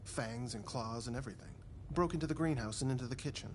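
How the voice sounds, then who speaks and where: A man's voice: medium pitch, quick pace, natural voice. A man voicing a friendly, gossipy servant in a cartoon video game, chatty, confiding and folksy. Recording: clear ambient noise behind.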